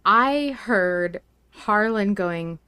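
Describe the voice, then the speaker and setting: Smooth-voiced woman hosting a fan podcast. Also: medium pitch, expressive, pushed and bright, no room sound. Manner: chatty, reflective, quick to laugh. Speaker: podcast host. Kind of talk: casual fan podcast chat.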